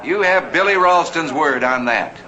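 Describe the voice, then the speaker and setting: A man's voice: on the high side, expressive, normal speed, a loud crowd. A man narrating a vintage TV drama and playing a flamboyant, genial 1860s banker. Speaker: host and actor. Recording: muffled old TV soundtrack with hiss.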